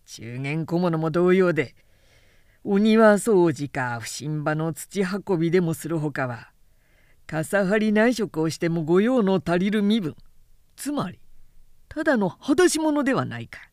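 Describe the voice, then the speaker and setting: A man's voice: high-pitched, lively intonation, a little slow, darkish tone, no room sound, clean studio sound. A woman voicing arrogant young male samurai who talk with haughty contempt in old-fashioned warrior speech, in a period story. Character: arrogant, sneering young high-born samurai drinking with comrades. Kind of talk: literary period-story reading.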